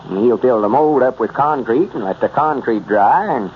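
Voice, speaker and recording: Casting: male actor for bossy, self-important Southern country storekeeper, medium pitch, vintage radio comedy, muffled old recording. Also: animated, moderate pace, a little hiss or hum, natural voice. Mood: musing. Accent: rural Southern US. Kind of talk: 1930s radio comedy acting.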